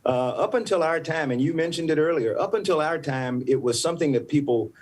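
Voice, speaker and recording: Measured older man from the American South. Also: fairly high pitch, lively intonation, a little mellow. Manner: measured, explanatory, with frequent restarts. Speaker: former astronaut, panel guest. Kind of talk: panel interview answer.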